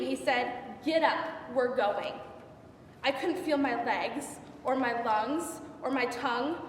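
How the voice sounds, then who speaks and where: A young woman playing an anguished biblical concubine in a dramatic stage monologue, with halting, repetitive, intense delivery. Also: high voice. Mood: horrified, distraught. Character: anguished young unnamed biblical concubine recounting her ordeal. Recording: echoey hall from far off, faint noise.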